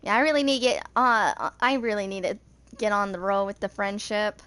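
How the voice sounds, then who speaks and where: A woman's voice: mid-range voice, a little fast, a bit bright, no room sound. Casual young woman gamer, dry close sound. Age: 20s. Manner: informal, thinking aloud. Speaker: gaming video creator. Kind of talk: casual rambling game commentary.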